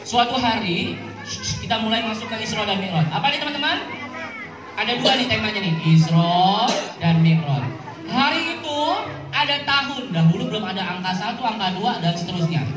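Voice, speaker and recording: A woman telling religious stories to children, animated and teacherly, with questions and call-and-response. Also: mid-range voice, moderate pace. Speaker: children's storyteller. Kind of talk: interactive religious storytelling for children. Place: outdoor mosque yard event. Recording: echoey PA, distant mic, music and crowd.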